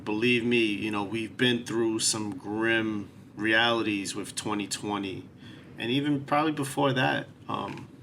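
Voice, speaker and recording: A man's voice: on the low side, fairly even intonation, measured pace, gravelly, sharp tone, a little hiss or hum. A man, hosting his podcast and talking earnestly about his life and the show in a casual, reflective way. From New York City. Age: 40s. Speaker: podcast host. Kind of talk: solo podcast introduction.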